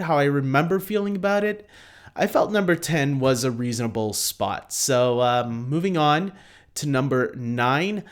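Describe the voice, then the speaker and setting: A man hosting a casual unscripted ranking video, talking to viewers in a halting, rambling, self-deprecating way. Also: on the high side, fairly bright tone. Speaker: host of a film ranking video.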